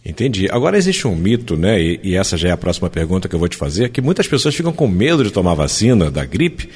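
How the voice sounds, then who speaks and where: A man interviewing a doctor, asking questions in a quick, lively manner. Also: low voice, slightly rough.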